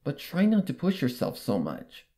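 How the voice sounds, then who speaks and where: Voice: medium pitch, huge pitch swings, normal speed, crowd noise. A narrator voicing an anxious, caring young female pony who speaks earnestly and politely in a fan fiction reading.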